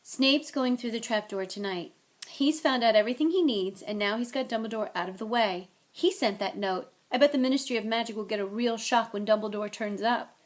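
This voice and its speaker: A woman's voice: a little high, fairly quick, close to an everyday voice. A woman voicing a determined, hot-headed boy hero in a storybook reading, with an intense, insistent delivery. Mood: urgent.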